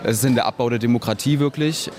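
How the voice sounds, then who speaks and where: Young student guy firing off questions, really quick, medium pitch. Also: a crowd in the background. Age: young adult. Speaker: school student. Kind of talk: spontaneous questioning.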